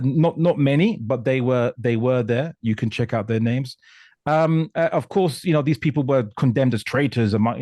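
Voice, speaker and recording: Male discussion guest from Portugal; emphatic, halting explainer, mid-pitched, close dry sound. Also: dark tone, dry recording. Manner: emphatic, halting, often repeating words. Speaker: guest commentator on religion and politics.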